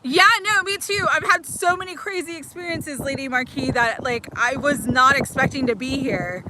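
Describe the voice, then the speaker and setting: A woman's voice: high voice, moderate pace, noisy background. A woman in her forties giving chatty, affirming oracle card readings on a livestream, laughing often between lines. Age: 40s. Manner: warm, chatty, giggly, affirming. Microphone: livestream mic.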